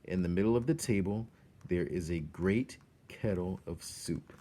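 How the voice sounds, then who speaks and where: Mellow, low-voiced man reading a storybook. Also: a little slow. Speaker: teacher reading a children's novel aloud. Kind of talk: expressive storybook read-aloud.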